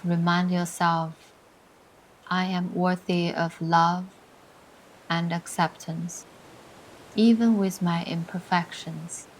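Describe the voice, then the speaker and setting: Slow, level-voiced woman guiding meditation. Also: on the low side, flat delivery, a little hiss or hum, poor audio. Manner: soothing, deliberate, pausing between short phrases. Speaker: meditation guide. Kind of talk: guided meditation, scripted and instructional. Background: music.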